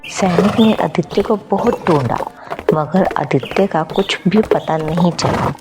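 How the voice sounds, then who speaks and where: Slow, low-pitched woman storyteller. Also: loud music behind the voice. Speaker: story narrator. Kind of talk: scripted storytelling narration.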